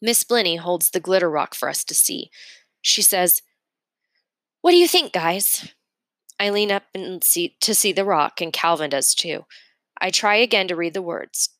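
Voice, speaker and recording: A woman's voice: on the low side, moderate pace, a bit bright, no room sound. A woman narrating a children's novel aloud in a storytelling read-aloud style. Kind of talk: expressive audiobook-style reading of a children's novel.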